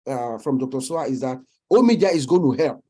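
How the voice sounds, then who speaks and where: A man from Cameroon, speaking haltingly with many restarts as a guest in a webinar panel over a video call. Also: mid-range voice, expressive, dark tone, slightly rough.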